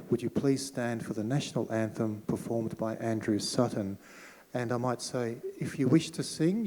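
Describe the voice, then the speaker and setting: A man with an Australian accent acting as master of ceremonies, giving a measured, formal address at a podium in a community hall. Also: medium pitch, dark tone. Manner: measured, formal public announcing. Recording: long hall reverb.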